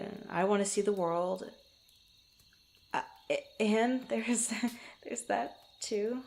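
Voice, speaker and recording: A woman playing a proud but tender young female adventurer in an intimate ASMR roleplay, speaking softly and haltingly. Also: medium pitch, slight background noise. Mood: shy, affectionate. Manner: halting, stammering, soft-spoken with breathy asides.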